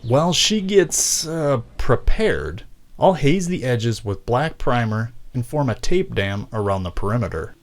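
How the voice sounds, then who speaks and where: A man's voice: medium pitch, expressive, a little slow. A man giving scripted, mock-documentary narration in formal, elaborate wording for a DIY craft video. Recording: clear background noise.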